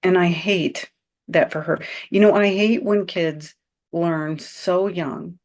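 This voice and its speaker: Earnest woman with a lower voice. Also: steady tone, takes it slowly, darkish tone. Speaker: podcast co-host and mother. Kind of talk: candid conversational chat.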